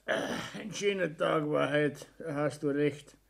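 An actor playing a bullying, self-pitying older husband in a 1960s German radio play, grumbling and scolding with a Bavarian accent. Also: male voice, on the high side, takes it slowly, gravelly. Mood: boastful, sentimental.

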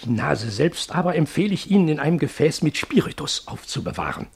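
An actor playing a complacent, officious doctor in a German radio drama, blandly reassuring and deliberate. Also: male voice, on the high side, very animated, vocal fry, a little mellow, husky, exaggerated voice. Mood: officious, advising.

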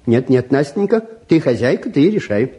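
A man's voice: medium pitch, very animated, normal speed, dark tone, natural voice. An actor playing a mild, middle-aged intellectual father, soothing and courteous, in a mid-century Soviet radio-play style. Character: gentle, mild intellectual father, absorbed in his work.

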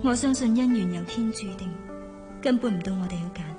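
A woman's voice: fairly high pitch, brisk, natural voice. Resolute romantic woman over tinkling music played by an actress. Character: resolute romantic woman who believes in fate. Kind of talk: dramatic radio drama monologue.

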